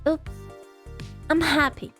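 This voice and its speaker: Woman playing a high-voiced, earnest lovestruck foreign schoolgirl. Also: flat delivery, takes it slowly, fairly bright tone, soft background music. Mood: earnest pleading. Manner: stilted broken phrasing.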